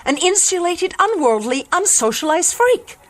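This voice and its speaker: Passionate rebellious daughter, lively swooping voice. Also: female voice, very high-pitched, normal speed. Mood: bitter, defiant. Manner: earnest, intense, articulate. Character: sheltered, rebellious, passionate grown daughter of an inventor. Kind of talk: radio drama acting.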